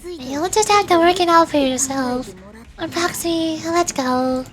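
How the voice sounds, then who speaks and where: A woman's voice: very high voice, slow. A woman voicing a shrewd, sassy young cat-girl mercenary in a video game, teasing and nonchalant. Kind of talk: video game dialogue read aloud in character.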